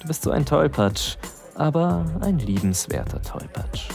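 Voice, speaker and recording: A man's voice: medium pitch, huge pitch swings, measured pace, gravelly, darkish tone, faint music behind, heavily acted. An actor playing a smitten young male best friend, narrating an intimate audio drama in the first person, musing and addressing the listener as you. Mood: fond, drawn.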